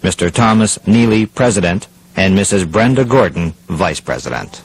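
A man playing a sober radio news reader, speaking in a formal, measured announcer delivery. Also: sits low, animated. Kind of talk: scripted radio news bulletin. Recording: noisy radio broadcast sound.

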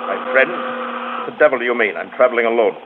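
An actor playing a curt, indignant, haughty English villain in a 1940s radio drama. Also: male voice, medium pitch, fast, natural voice. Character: irritable, haughty English villain travelling alone. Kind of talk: 1940s radio horror acting. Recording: tinny, hissy 1940s radio recording.

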